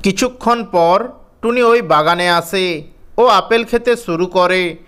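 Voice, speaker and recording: A woman storyteller reading scripted narration for a children's animated fairy tale. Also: lowish voice, slow pace, clean tone.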